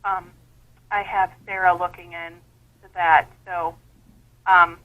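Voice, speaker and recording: A woman's voice: lowish voice, flat delivery, slow pace. A woman working as an office clerk, handling a customer service phone call.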